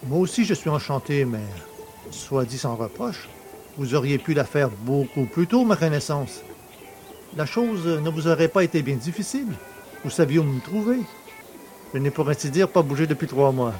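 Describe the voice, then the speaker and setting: An actor playing a jovial, boastful country poacher in a French audio drama, chummy, cheeky and colloquial. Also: male voice, a little high, lively intonation, normal speed, darkish tone, faint music behind, lightly acted. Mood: boastful teasing. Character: jovial, boastful, familiar rural poacher just freed.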